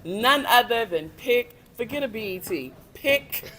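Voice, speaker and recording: A woman's voice: on the high side, animated, a little slow. A woman speaks as a stage actress in a casual interview after a show. She is enthusiastic and chatty, recorded with noticeable background noise and clicks.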